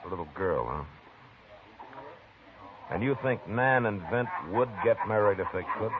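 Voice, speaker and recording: An actor playing a stern, steady frontier marshal in a 1950s radio drama, with a calm, firm, clipped delivery. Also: male voice, fairly low pitch, measured pace, crowd noise. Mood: thoughtful. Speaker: town marshal. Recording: tinny vintage radio broadcast with hiss.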